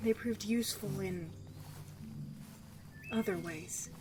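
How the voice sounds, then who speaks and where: A woman playing a guarded, sickly young survivor of cruel experiments in an audio drama, speaking in a wry, measured, confiding way. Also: mid-range voice, natural voice. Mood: grim, confiding.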